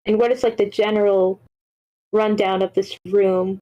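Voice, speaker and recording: A woman's voice: medium pitch, steady tone, normal speed, warm tone, highs cut off. A woman giving casual, rambling script feedback in an informal way, peppering it with "like". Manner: informal, rambling, frequent "like" and swearing. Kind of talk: casual spoken critique.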